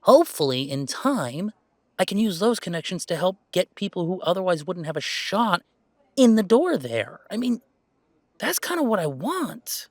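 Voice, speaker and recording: A man playing an earnest, playful young werewolf gamer in an intimate, conversational roleplay audio, speaking in a halting, emphatic way. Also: sits high, no room sound. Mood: earnest, hopeful. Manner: halting, emphatic, expressive, with sighs and chuckles.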